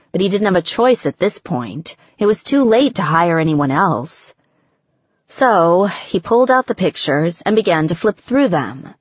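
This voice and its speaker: A woman's voice: mid-range voice, husky, dry recording, highs cut off. A woman reading a story as scripted audiobook narration.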